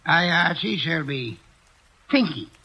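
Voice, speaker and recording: A haughty, gravelly detective. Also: male voice, a little high, expressive, slow pace, very noisy. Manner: pompous, dry and sardonic. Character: haughty pompous male detective, fussy and imperious. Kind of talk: 1940s radio acting. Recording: dull lo-fi old radio recording.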